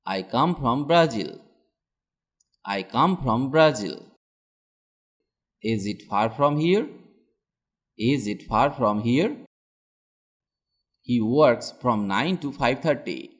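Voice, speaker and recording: A man reading out words and short example sentences as a scripted English lesson, in a deliberate, instructional manner. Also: medium pitch, expressive. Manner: deliberate, each sentence said twice. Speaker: English language teacher.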